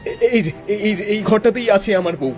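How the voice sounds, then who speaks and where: A young village husband, acted by a man, high in pitch and full of feeling. He stammers and pleads in melodramatic cartoon style, over clearly audible music. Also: lively intonation, fairly quick. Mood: anxious. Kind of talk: melodramatic Bengali cartoon voice acting. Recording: music behind the voice.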